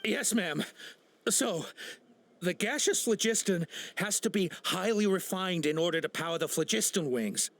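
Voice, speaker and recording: Stammering captured smuggler. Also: male voice, sits high, expressive, normal speed, a bit bright, no room sound. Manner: stammering, full of uh and nervous restarts. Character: nervous captured male smuggler, cowardly. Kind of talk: game cutscene voice acting.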